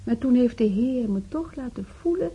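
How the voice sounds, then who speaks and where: An actress playing a pious, chattering widowed church volunteer in a radio drama, stammering and repeating herself with a husky, breathy voice. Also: female voice, on the high side, lively intonation, moderate pace, dull audio. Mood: earnest, confiding. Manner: rambling, stammering, repeats herself, earnestly preachy. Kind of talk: naturalistic radio drama dialogue.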